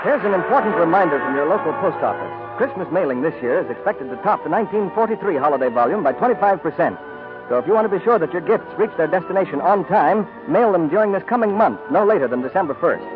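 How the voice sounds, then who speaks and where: A man's voice: high-pitched, animated, fast talker. An American-accented man reading scripted announcements as a 1940s radio announcer on an old broadcast recording. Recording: tinny 1940s radio, music behind.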